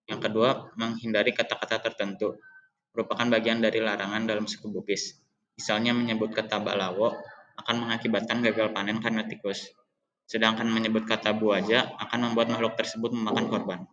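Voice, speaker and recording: A young man reads out a school report in a steady, list-like way, stumbling now and then on a word. His voice sits fairly low and hardly moves in pitch. The recording sounds dull and lo-fi. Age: young adult. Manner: even, list-like recitation with occasional slips and restarts. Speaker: high school student presenting. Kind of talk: reading a school presentation aloud.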